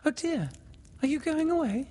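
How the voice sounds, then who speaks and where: Man voicing a gentle high-pitched storybook girl. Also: lively intonation, normal speed, a little hiss or hum. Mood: hesitant, pleading. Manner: hesitant, faltering. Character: gentle young girl, earnest and pleading. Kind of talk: storybook character voice.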